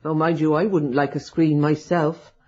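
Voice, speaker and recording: A woman's voice: deep voice, fairly even intonation, moderate pace, a little mellow. A woman playing a deferential, superstitious old charwoman in a radio drama, hinting at gossip. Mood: ominous, wary. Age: older. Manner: deferential, hinting, rustic turns of speech. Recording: dull lo-fi radio drama recording.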